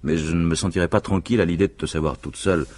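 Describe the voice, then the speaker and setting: An actor playing a self-assured, scheming husband in a vintage French radio mystery, speaking in persuasive, emphatic speeches with a husky voice. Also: male voice, deep voice, fairly even intonation, rapid-fire, darkish tone. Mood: tender.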